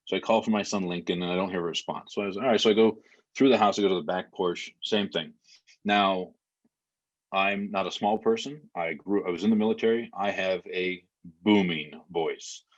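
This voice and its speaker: A man as a podcast guest, talking casually and telling a story in a halting, rambling way, with many fillers and restarts. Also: sits low. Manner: plain, matter-of-fact storytelling. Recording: slightly muffled lo-fi podcast audio.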